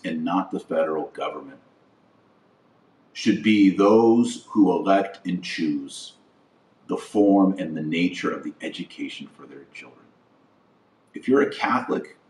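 An American man delivering a political monologue as a podcast host, emphatic and deliberate, stressing his phrases with pauses. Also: sits low, slight background noise. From United States. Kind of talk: political platform monologue, speech-like. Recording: roomy echo, distant from mic.

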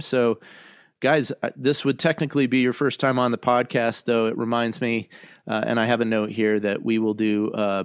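A man's voice: mid-range voice, clean tone, no room sound, clean studio sound. Chatty, joking male podcast host. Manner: chatty, joking, rambling asides. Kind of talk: casual podcast chat.

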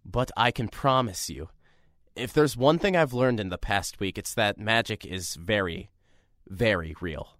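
A man's voice: on the low side, unhurried, no room sound, studio quality. An actor playing a nervous, rambling young man filling in as a radio host in an audio drama. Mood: earnest, rambling. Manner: rambling, self-deprecating, lively.

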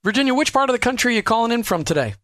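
A man hosting a faith-based radio talk show, upbeat and promotional, spelling out details slowly. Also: extremely high pitch, big pitch swings, fairly bright tone, no room sound, studio quality. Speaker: radio host.

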